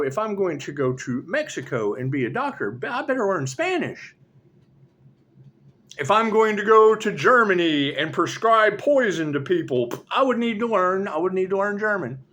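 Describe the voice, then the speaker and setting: A man delivers a profane, sarcastic tarot monologue. His somewhat high voice swings widely up and down in pitch as he rants. He laughs often between remarks. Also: fairly bright tone, a little hiss or hum. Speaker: tarot reader. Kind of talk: rambling monologue.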